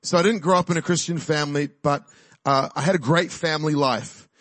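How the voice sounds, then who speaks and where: Exuberant New Zealand preacher, dry sound. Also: male voice, on the high side, fairly even intonation, brisk, a bit bright, dry recording. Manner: chatty, exuberant, laughs often, rallies the crowd. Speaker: guest preacher. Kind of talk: church sermon with personal testimony. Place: church.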